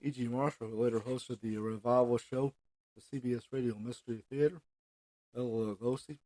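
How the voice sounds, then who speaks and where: An American man hosting an informal solo podcast, reading from notes in a halting way and stumbling over names. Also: mid-range voice, fairly even intonation, warm tone, no echo, low-quality recording. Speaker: podcast host.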